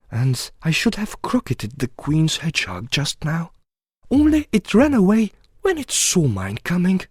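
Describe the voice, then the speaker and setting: Young storybook girl, acted by a male reader: polite, uneasy, complaining, raised pitch, lively swoops. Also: husky, dry recording, clean studio sound, heavily acted. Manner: plaintive, prim.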